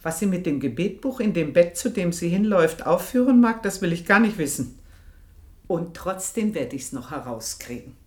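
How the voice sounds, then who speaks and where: An actress playing an aging, gossiping woman servant in a radio drama, confiding in a hushed voice that turns emphatic. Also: lowish voice, animated, normal speed, close to an everyday voice. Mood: confiding, bitter. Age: older. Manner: confiding, hushed then emphatic, dwells on phrases. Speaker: narrating character. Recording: roomy radio studio sound.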